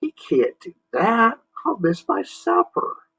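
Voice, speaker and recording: Plucky young boy, earnest, raised bright voice, played by a man, muffled lo-fi. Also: very high voice, huge pitch swings, takes it slowly, husky, pitched above the performer's own voice, full character voice. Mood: worried. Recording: muffled lo-fi recording.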